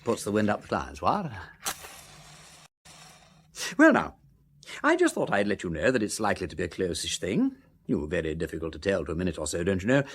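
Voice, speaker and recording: An actor playing an aristocratic English gentleman detective in a radio drama, with a husky voice, an affected chatty drawl and an upper-class English accent. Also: sits low, huge pitch swings, fairly quick, long pauses, heavily acted. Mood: breezy, affable. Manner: affected silly-ass drawl with tag questions. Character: aristocratic gentleman sleuth, breezy, chatty, shrewd underneath.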